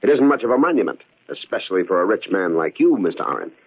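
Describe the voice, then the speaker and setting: Radio thriller killer: low, husky, calculating, mock-polite, on a dull old recording. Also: male voice, sing-song, exaggerated voice. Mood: menacing, gloating. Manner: taunting, deliberate, mock-polite. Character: cold, gloating male murderer, a resentful long-serving employee.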